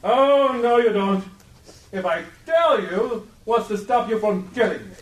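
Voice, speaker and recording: High-pitched, pompous adventurer-hero. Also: male voice, a little slow. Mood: nervous, defensive. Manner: theatrical, grandly self-assured. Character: pompous, self-assured male adventurer-hero with a villainous streak. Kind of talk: comic radio play acting. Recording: roomy, distant, crowd noise behind.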